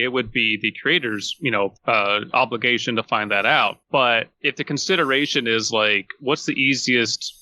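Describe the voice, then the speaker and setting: A man's voice: mid-range voice, sharp tone, dry recording. A man co-hosting a podcast in casual discussion, laying out his reasoning point by point. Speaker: podcast co-host.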